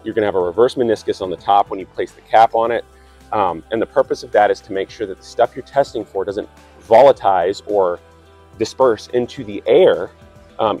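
A man in his thirties, a plant operator, talking through sampling steps in a relaxed way with lots of ums and restarts. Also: fairly low pitch. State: slightly raspy from a cold. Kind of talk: instructional demonstration. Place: outdoors by trees.

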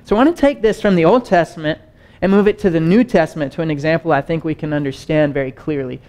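A man's voice: a little high, fast. A young man teaching a sermon on a stage, talking casually and pacing as he gestures. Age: young adult. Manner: animated, conversational, gestures widely while pacing. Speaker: preacher. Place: stage with wood-panel backdrop and screen.

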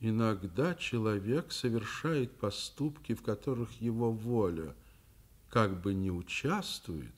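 An actor playing a stern, principled school principal in a Soviet radio play, with an emphatic, commanding delivery. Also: male voice, fairly low pitch, expressive, unhurried. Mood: troubled narration. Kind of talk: mid-century Soviet radio play acting.

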